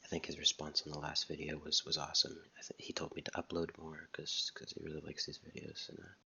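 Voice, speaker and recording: A young man in his late teens talking softly, almost whispering, with a halting way of repeating words, recorded close to the mic in his dorm room. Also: extremely low pitch, completely flat delivery, gravelly, slightly rough, dull audio. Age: young adult. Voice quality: soft, near-whispering voice. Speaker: vlogger. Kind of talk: soft-spoken personal vlog. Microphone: close mic, wet mouth sounds.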